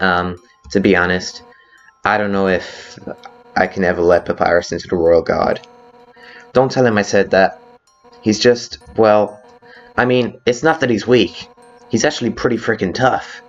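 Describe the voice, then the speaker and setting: A man's voice: lowish voice, steady tone, unhurried, pauses often, muffled recording. A man voicing a brash, hot-headed female monster guard captain with blunt, informal delivery in a video game fan dub. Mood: earnest. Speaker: character voice actor in a fan dub. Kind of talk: dramatic video game dialogue. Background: background music.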